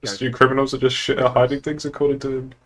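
A man's voice: medium pitch, flat delivery, fast talker, fairly bright tone. Blunt, sweary male gamer. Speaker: game streamer. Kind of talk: casual banter in online game roleplay.

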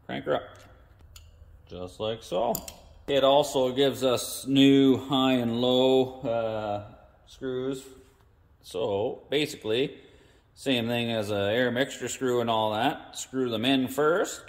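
A man's voice: medium pitch, gravelly, long reverb tail. A man casually talking through a carburetor rebuild at his garage workbench, thinking aloud with folksy slang. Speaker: mechanic explaining a carburetor rebuild. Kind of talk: casual hands-on workshop narration. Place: indoor garage workshop at a workbench.

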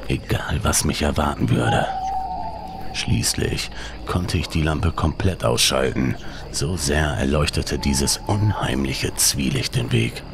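A man narrating a scripted first-person horror story in a suspenseful, measured way, with some breathy, hushed phrasing. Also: a bass voice, background noise.

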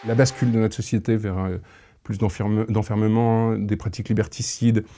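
Thoughtful man, low mellow voice. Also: flat delivery. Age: 30s. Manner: halting, thoughtful. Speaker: interviewee, audio fiction creator. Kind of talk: reflective interview talk. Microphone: lapel mic.

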